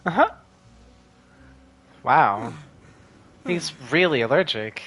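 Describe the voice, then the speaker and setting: A woman's voice: low voice, expressive, slow, fairly bright tone, breathy edge, faint music behind. A woman giving brief, laid-back, casual reactions as she streams a video game. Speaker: gameplay streamer. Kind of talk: casual reactions during gameplay.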